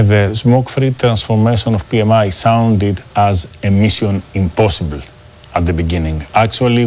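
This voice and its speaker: A middle-aged man with a Greek accent gives measured, formal answers as a business executive being interviewed. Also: low voice, a little mellow, a little hiss or hum. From Greece. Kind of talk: prepared corporate interview answers.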